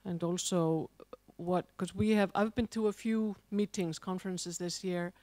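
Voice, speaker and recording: A woman's voice: on the low side, fairly even intonation, unhurried, warm tone, dry recording. Icelandic woman asking a question. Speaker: conference audience member.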